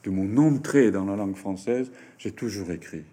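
Guy talking french in an interview, husky and lowish voice, lots of hesitant pauses. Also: mellow. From Japan. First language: Japanese. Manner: hesitant, halting, with frequent fillers and restarts. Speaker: writer and French teacher, interview guest. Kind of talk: interview answers.

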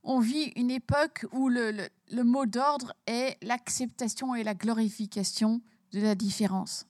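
A woman's voice: fairly high pitch, a little slow, a little mellow, no echo, studio quality. A woman author from Belgium, talking emphatically about her book in an interview and repeating words as she starts her sentences.

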